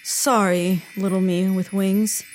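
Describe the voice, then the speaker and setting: An actress playing a snarky, hot-headed young internet troll with excitable, exaggerated sarcasm in a comedic audio drama. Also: female voice, mid-range voice, slow, soft background music, natural voice. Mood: bemused, sheepish.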